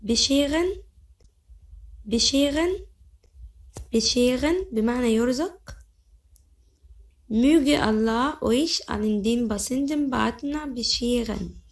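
A young woman with an Egyptian Arabic accent teaching vocabulary. She says each word slowly several times, then explains it quickly in Arabic. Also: a little high. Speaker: language teacher. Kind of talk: vocabulary lesson.

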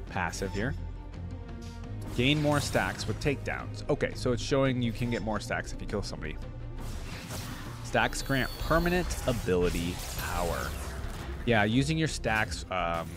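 A young man giving casual reaction commentary, thinking aloud informally and at times reading text out, close on a desk microphone. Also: fairly low pitch. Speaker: reaction video host. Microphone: close desk microphone on arm.

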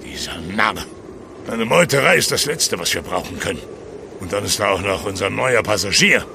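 An actor playing a gruff, driven, world-weary ship's captain in a radio drama. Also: male voice, on the low side, expressive, normal speed, noisy background. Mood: irritated, commanding.

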